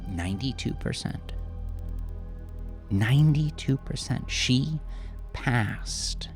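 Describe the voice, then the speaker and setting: A man narrating a horror story in the first person, with a brooding, halting, intense confessional delivery. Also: mid-range voice, over music. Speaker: narrator and author.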